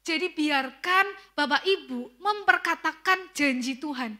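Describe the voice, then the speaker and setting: A young woman with a Tegal Javanese accent preaches to her congregation, her voice high and bright. She tells stories in a lively way, with quoted asides, and keeps speaking straight to the listeners. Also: measured pace. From Central Java, Indonesia. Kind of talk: sermon.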